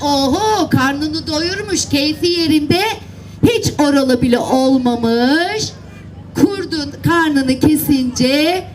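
A woman's voice: high voice, rough recording. A woman storyteller narrating a tale to children and coaxing them to act it out with simple, repeated instructions. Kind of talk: animated storytelling and directing children in acting out a tale. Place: outdoor seaside children's event. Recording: live event, faint crowd and noise. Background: faint crowd and ambient noise.

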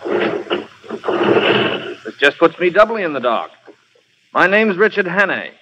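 An actor playing a gallant, sceptical gentleman, wry and urbane, in 1940s radio drama style. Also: high-pitched, big pitch swings, a little slow, heavy background noise. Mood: sceptical, amused. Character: gallant, sceptical gentleman helping a frightened stranger. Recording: dull vintage radio broadcast.

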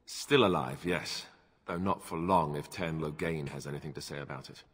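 An actor playing a wry, guarded young warrior in a fantasy game, dry and understated, with a reverberant ring. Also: male voice, a bass voice, moderate pace, fairly bright tone, slightly rough, heavily acted. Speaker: game character.

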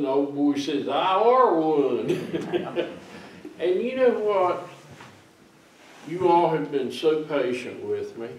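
Older pastor, slow and folksy, roomy church. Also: male voice, on the high side, darkish tone, slight background noise. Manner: folksy, slow, teasing and chatty with kids. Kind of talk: children's sermon. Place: small church sanctuary, seated among children. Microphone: far camera. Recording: roomy church sound from far off.

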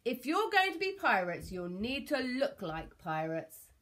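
A woman's voice: a little high, sing-song, a little slow, fairly bright tone, pitched above the performer's own voice. A woman voicing a theatrical, blustering male pirate captain in a children's storybook. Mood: hearty. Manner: sobbing, blustering, theatrical exclamations.

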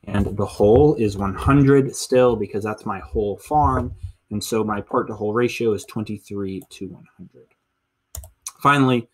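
A man in his thirties with an American accent, a teacher explaining a lesson step by step in an instructional screencast. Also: lowish voice. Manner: step-by-step explaining, counting aloud. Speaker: middle school teacher.

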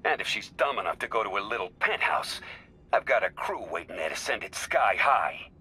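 A man playing a ruthless mob boss in a video game, commanding and menacing, with a gravelly voice. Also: lowish voice, sing-song, a little fast, bright, forceful tone, poor audio. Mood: cold fury. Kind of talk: cinematic game voice acting.

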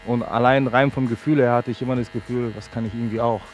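A man's voice: mid-range voice, fairly even intonation, fast, slight background noise. A man in his thirties, a barber, casually explaining his work through a headset mic in a sports hall. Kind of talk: casual explanation while working. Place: large indoor sports hall.

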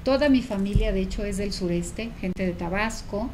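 A woman's voice: medium pitch, moderate pace, noisy background. Mexican woman telling her life story. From Veracruz, Mexico. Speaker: author guest. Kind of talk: conversational interview. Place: small indoor TV studio set.

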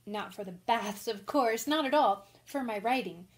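A frank, impulsive young woman writer in a radio play, acted by a woman. Her voice is fairly high, and she rambles on in a chatty, candid rush. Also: moderate pace, lightly acted. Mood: chatty, flustered. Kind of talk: radio drama acting.

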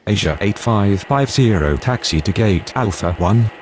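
A man with a lowish voice reads scripted air traffic radio calls. A light engine-like noise sits behind him. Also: expressive, a little slow, a little mellow. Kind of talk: scripted aviation radio phraseology.

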